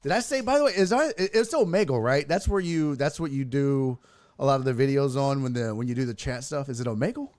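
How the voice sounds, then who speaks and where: A man's voice: mid-range voice, expressive, fast, darkish tone, dry recording. Man hosting a casual, sweary chat, close dry sound. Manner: profane, slangy, addresses guest as dude. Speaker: talk show host and comic.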